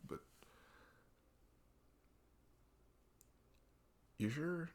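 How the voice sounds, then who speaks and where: A man playing a troubled, apologetic best friend in an intimate ASMR roleplay, halting, stammering and sighing. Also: a little high, expressive, voice pushed up, lightly acted. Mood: apologetic, reluctant.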